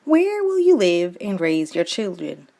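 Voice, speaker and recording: A woman's voice: mid-range voice, sing-song, creaky, dark tone, full character voice. Woman voicing a sensible doubtful crab friend. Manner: measured and doubtful. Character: sensible female crab, cautious best friend. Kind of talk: storybook character voice.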